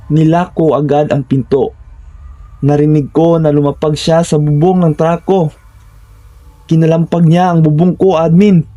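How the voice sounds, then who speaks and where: A man with a Filipino accent narrates a scripted first-person horror story in short, deliberate, suspenseful phrases. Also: fairly high pitch, mellow.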